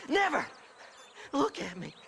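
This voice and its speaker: Crazed grimy American prospector, very high-pitched voice, creaky and shrill, paranoid, obsessive rambling, western game cutscene. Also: male voice, wildly swooping pitch, measured pace, a bit bright, slight background noise, exaggerated voice. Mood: brooding. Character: half-mad, paranoid, grimy grave-robbing treasure hunter. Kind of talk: video game cutscene acting.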